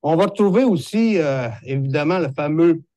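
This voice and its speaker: A man in his sixties with a Québécois accent, a horticulturist explaining green-space care in a measured, hesitant way with many fillers. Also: a little high, expressive, dark tone, no room sound. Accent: Québécois French. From Quebec. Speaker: horticulturist presenting a video. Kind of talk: explanatory video talk.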